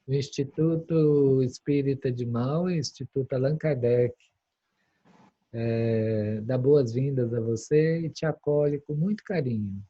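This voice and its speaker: A man with a Brazilian accent hosting informally, halting and hospitable as he introduces and welcomes a speaker. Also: medium pitch, warm tone, breathy edge. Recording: dull, lo-fi internet call audio.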